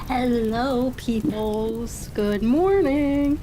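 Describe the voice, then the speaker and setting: A woman hosting a livestream, chatting casually and musing aloud to herself in an unhurried way. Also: fairly high pitch, noisy background. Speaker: streamer and host of a reading session.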